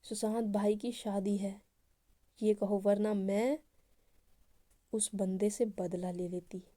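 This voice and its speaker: Woman doing jealous young woman in a rage, loud, higher, dark mellow tone, storytelling voice. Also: fairly even intonation, lightly acted. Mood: furious. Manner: heated, accusing, rapid outbursts.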